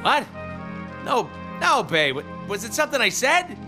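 Goofy, unhinged wisecracking game antihero: high, wildly animated, sarcastic, loud music behind. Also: male voice, unhurried, full character voice. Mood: whiny, hurt. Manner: goofy, sarcastic, swooping between silly and mock-dramatic. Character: wisecracking, childish, unhinged adult male antihero mercenary. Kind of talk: video game character acting.

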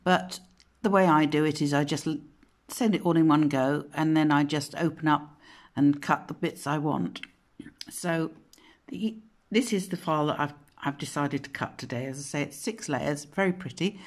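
A woman hosting a casual craft software tutorial at home, chatty and rambling, often correcting herself, with a slightly croaky voice. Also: deep voice, moderate pace. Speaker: craft channel host. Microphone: phone camera pointed at a monitor.

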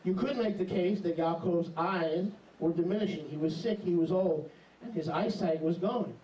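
A middle-aged man preaching an animated, emphatic sermon into a handheld mic in a small congregation hall. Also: high-pitched, moderate pace. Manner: animated, emphatic, jumps into mocking voices. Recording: echoey hall, camera far off.

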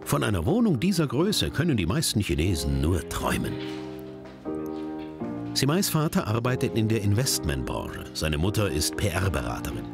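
A male narrator reads a documentary script in a rather low voice. His pitch rises and falls in a very animated way, and clear music plays beneath his voice. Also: normal speed. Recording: narration over background music. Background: background music.

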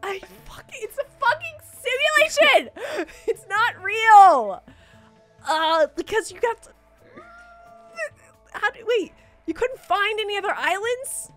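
A woman giving casual, animated let's play commentary with a co-host, swearing freely. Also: very high voice, slow pace, faint music behind.

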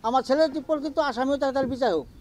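High, quick, pleading village woman. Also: a little mellow. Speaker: interviewee. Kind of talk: news interview soundbite.

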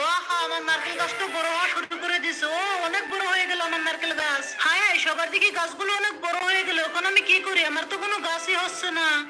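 Excitable cartoon kid, extremely high and quick-talking. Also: male voice. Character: excitable small cartoon child planting fruit trees. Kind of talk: children's cartoon skit dubbing.

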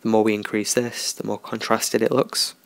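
A man talking through a software tutorial in a relaxed, unscripted way, thinking aloud as he works. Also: lowish voice, normal speed, gravelly, no echo. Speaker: tutorial host. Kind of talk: screen-recorded software tutorial.

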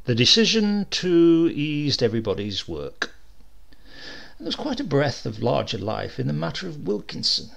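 A man reading a comic short story aloud as narrator, expressive and lively in his delivery. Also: on the high side, moderate pace, vocal fry. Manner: lively, expressive reading with varied character voices. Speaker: audiobook reader.

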